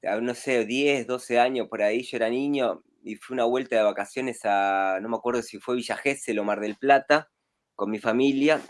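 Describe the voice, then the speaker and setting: A man's voice: medium pitch, moderate pace. An adult man from Rosario, a street clown talking casually as a guest over a video call, chuckling often. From Rosario, Argentina. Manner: relaxed, chuckles often, rambling.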